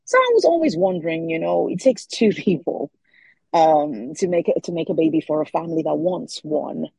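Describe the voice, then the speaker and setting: A woman's voice: sits low, lively intonation, normal speed, vocal fry, dark tone, breathy edge, no room sound, rough recording. A Nigerian woman in her forties, an author answering interview questions thoughtfully, often repeating words as she searches for them. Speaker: author guest.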